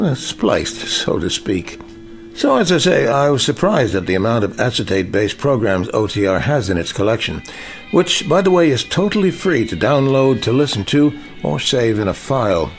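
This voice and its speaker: An older man, a veteran broadcaster, reading a scripted radio feature in a measured, polished way. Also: on the low side.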